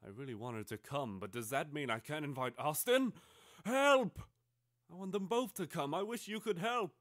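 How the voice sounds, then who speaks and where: A man voicing a melodramatic twelve-year-old schoolgirl confiding in her diary, theatrical and overwrought, swinging between hushed and exclaiming. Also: a little high, moderate pace, no room sound, clean studio sound, pitched above the performer's own voice, natural voice. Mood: pleading. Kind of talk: theatrical dramatic reading of a teenage diary.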